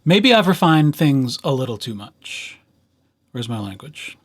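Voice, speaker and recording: A man presenting a casual software tutorial as a host, with playful, dryly humorous asides. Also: mid-range voice, very animated, a little slow, husky.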